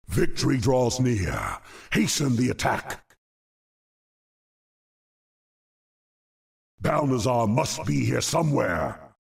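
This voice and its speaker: Slow creaky demon dreadlord, scheming and sinister, video game villain. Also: male voice, mid-range voice, exaggerated voice. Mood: menacing, urging. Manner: menacing, deliberate. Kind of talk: dramatic video game acting.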